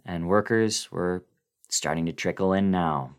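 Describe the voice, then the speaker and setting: A man narrating a first-person horror story as a dramatic reading, with theatrical, expressive delivery. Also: sits low, unhurried, smooth.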